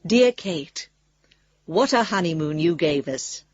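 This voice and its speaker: A woman's voice: on the low side, animated, takes it slowly, no room sound. An actress playing a fond, worldly bride, reading her letter aloud expressively in a radio drama. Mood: fond, delighted. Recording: dull, muffled radio recording.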